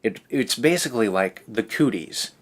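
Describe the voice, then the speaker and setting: A man with a low voice hosts a read-aloud for kids. He reads in a casual, easygoing way and drops in chatty asides. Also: expressive, normal speed. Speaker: host of a children's read-aloud show.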